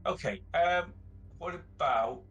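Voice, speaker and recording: A man's voice: on the high side, slow, fairly bright tone, far from the mic, lo-fi sound, soft background music. A man playing a tabletop game, casually tallying up items and bargaining.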